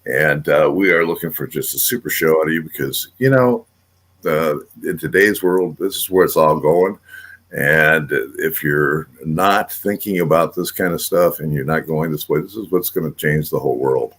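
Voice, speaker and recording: Older male host, very low voice, casual and rambling with lots of fillers. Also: moderate pace, a bit bright. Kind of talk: casual radio show hosting.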